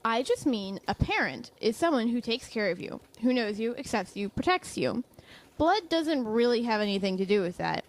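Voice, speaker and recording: A woman playing a protective, composed professor in an audio drama, measured and dry with a pointed edge. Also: a little high, very animated, low-quality recording. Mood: pointed, firm.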